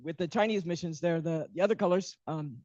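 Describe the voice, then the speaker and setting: A man's voice: on the high side, a little fast, a little mellow, no room sound. A man giving a workshop lecture, hesitant with many ums and restarts, while losing his voice. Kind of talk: academic workshop talk. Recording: dull, muffled lecture audio.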